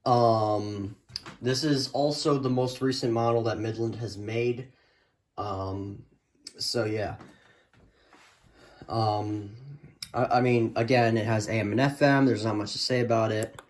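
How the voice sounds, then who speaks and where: A man's voice: lowish voice, steady tone, slow, long pauses, slight background noise. A man giving a casual, unscripted show-and-tell as a hobbyist, speaking hesitantly and often trailing off. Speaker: hobbyist collector presenting a radio collection.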